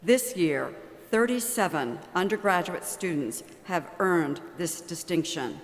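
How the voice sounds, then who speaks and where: A woman reading formal announcements as a ceremony announcer, measured and formal. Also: lowish voice, gravelly. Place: large arena. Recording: long arena reverb.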